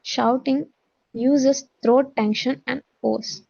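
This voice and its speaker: A woman teacher with a Telugu accent gives an instructional lecture, switching between English and her own language. Her voice sits a little high, with a soft, mellow tone and noticeable creak. The audio is dull and lo-fi. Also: steady tone, extremely slow. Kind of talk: classroom-style lecture, reading English notes and explaining them.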